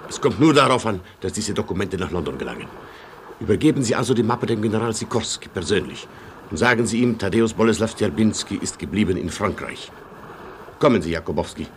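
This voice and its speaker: Give me an actor as a proud, deep-voiced Polish officer. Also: male voice, animated, fairly quick, lightly acted. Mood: proud, resolute. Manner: solemn, formal, old-fashioned declaiming. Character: proud, gallant Polish colonel, chivalrous and fatalistic. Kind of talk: German radio play acting. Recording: noisy old radio play recording.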